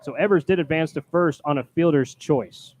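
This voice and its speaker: A man calling live baseball play-by-play from a booth as announcer, projecting loudly on the calls. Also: a little high, moderate pace. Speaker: baseball play-by-play announcer, also doing public address. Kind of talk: live play-by-play sports commentary. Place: press booth at a ballpark. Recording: clear background noise.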